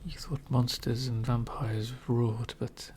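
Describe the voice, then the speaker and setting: Slow, whispering elegant male vampire acted by a man. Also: medium pitch, little pitch movement, a little hiss or hum, natural voice. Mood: menacing, fascinated. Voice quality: soft, breathy whispering. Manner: formal, wistful, slow and deliberate. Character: centuries-old elegant male vampire, lonely, courteous. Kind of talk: ASMR roleplay monologue.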